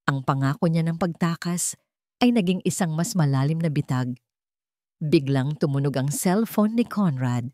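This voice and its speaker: Female narrator for dramatic love stories; slightly deep, measured, solemn, scripted read, no room echo. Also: mellow, studio quality. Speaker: storyteller.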